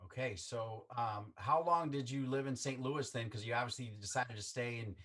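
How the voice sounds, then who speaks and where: A man's voice: mid-range voice, moderate pace, fairly bright tone. A man hosting a casual sports interview, chatty and upbeat as he asks questions. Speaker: interview host.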